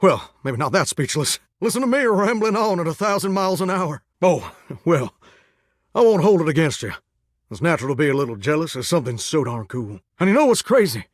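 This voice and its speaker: This is a flirty, melodramatic shower come to life for a video game. The voice sits high and leaps up and down with theatrical feeling. It has a folksy Southern American accent and an effusive, would-be star's charm, captured close and dry. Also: male voice, big pitch swings, fairly quick, no room sound. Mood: bashful, rambling, then wistful. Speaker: dateable character in a video game. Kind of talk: animated video game voice acting.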